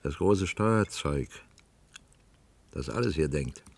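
Gravelly, low-voiced brooding worker. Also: male voice, lively intonation, slow pace, exaggerated voice. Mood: brooding, resigned. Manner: musing, resigned. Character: brooding, weary male worker confined to a cellar control room. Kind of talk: German science-fiction radio drama acting.

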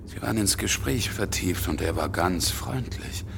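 Gravelly, indignant revolutionary. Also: male voice, low-pitched, animated, moderate pace, noisy background. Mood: bitter disbelief. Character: outraged, defiant male French revolutionary leader, booming and blunt. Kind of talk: radio drama acting.

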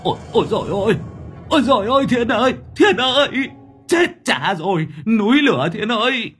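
A man's voice: very high-pitched, lively intonation, brisk, faint music behind, pitched above the performer's own voice. A man doing a panicky, wailing village man. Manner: wailing, cursing, yelling.

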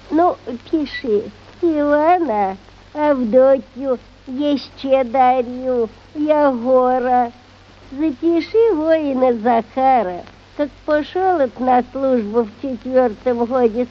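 A woman's voice: sits high, dull audio. An actress playing a pious, stubborn old village peasant woman with a rural Russian dialect and a folksy, muddled manner in a radio play. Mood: earnest dictating. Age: older. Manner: folksy, drawn-out dictating, muddled. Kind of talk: radio play acting of a classic short story.

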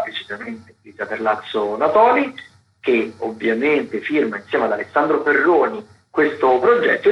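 A man hosting a radio arts programme, talking through listings in a halting way with drawn-out words. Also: fairly high pitch. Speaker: radio host presenting theatre and dance listings. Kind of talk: semi-scripted radio arts commentary. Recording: roomy echo, slightly dull radio sound.

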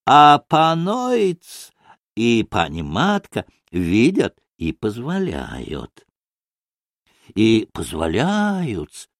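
A man voicing an obsequious, giggly old provincial gentleman in a storybook, telling tales in drawn-out, servile phrases. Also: medium pitch, wildly swooping pitch, no echo, studio quality, full character voice. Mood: sly, giggly.

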